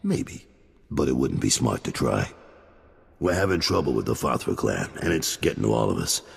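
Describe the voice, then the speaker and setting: Brief: very deep, rough, husky crime lieutenant, blunt, echoing hall. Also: male voice, big pitch swings, moderate pace, exaggerated voice. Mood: weary. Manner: blunt, warning. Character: gravelly middle-aged male crime lieutenant, wary and blunt. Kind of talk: video game cut-scene acting.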